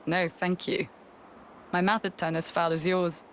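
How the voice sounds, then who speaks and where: A woman voicing a calm, formal, dignified military commander in a video game. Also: on the low side, a little slow, a little hiss or hum, close to an everyday voice. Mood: dryly teasing. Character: composed, dignified female military commander, dryly teasing. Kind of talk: scripted video game voice acting.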